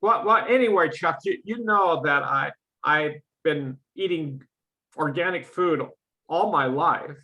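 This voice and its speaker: A man in a casual chat with a mellow voice who talks haltingly and repeats his words. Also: a little high, low-quality recording. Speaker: guest.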